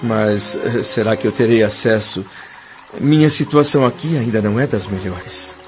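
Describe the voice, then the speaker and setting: A man's voice: lowish voice, moderate pace, a little mellow, natural voice. A Brazilian man playing an earnest, curious newcomer to the spirit world in an audio drama, speaking politely and with wonder. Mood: hesitant. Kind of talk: audio drama acting with first-person narration. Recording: dull lo-fi audio, music clearly behind.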